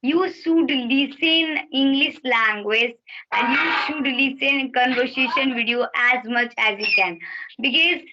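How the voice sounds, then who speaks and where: Bright high Indian woman advising. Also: unhurried, dull audio, slight background noise. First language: Bhojpuri. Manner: advising, patient, repeats key points. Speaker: English practice partner. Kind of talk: encouraging casual conversation. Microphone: video call.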